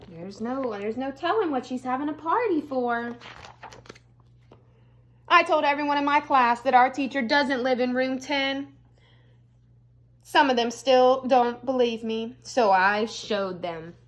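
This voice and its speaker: A woman, an elementary school teacher, reading a storybook aloud to young children with expressive delivery and casual, chatty asides. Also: high voice, unhurried, long pauses.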